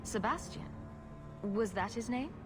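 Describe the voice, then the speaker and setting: Wry rogue heroine over music. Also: female voice, a little high, lively intonation, a little slow. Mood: questioning. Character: witty, self-assured female rogue adventurer. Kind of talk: video game voice acting. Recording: music clearly behind the voice.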